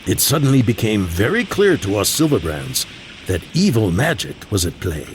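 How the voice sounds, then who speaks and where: An actor playing a proud, teasing old grandfather who tells dramatic tales in a fantasy audio drama, with a gravelly voice. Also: lowish voice, big pitch swings, normal speed, poor audio. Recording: clear background ambience noise.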